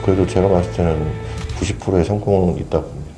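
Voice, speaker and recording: A man's voice: low voice, steady tone, fast talker, over music. A man, a former patient, earnestly sharing his experience of treatment in a loose, reflective way. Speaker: patient guest. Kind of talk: casual testimonial.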